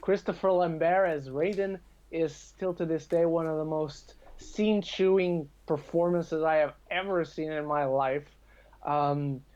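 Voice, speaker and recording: A man's voice: a little high, a little mellow. A man speaking as a podcast guest, giving his opinions on films in casual chat. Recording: dull lo-fi call-like audio.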